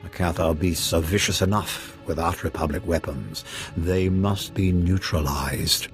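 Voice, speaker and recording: An actor playing a cold, commanding Sith lord in a video game, speaking with formal authority. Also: male voice, deep voice, fairly even intonation, unhurried, faint music behind. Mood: stern, calculating.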